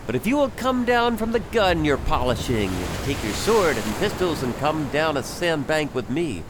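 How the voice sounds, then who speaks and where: An actor playing a bold pirate captain in an audio drama, speaking in a formal, declamatory way. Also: male voice, fairly high pitch, big pitch swings, normal speed, noisy background. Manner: well-spoken, declamatory.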